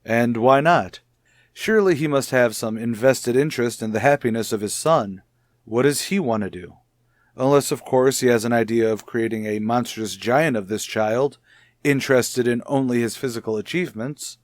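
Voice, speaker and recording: An actor playing a composed, authoritative child psychologist in a 1950s radio soap, speaking formally and in a measured way. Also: male voice, mid-range voice, no room sound, studio quality, close to an everyday voice. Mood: measured, professional.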